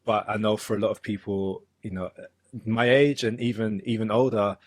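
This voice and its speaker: A man with a London accent and a fairly low voice speaks as a guest on a video call. He talks in a relaxed, thoughtful way, pausing with ums and restarting his sentences. The sound is dry and close, with no room echo. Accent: London English. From London, England. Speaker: guest, musician and author. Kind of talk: relaxed conversational interview.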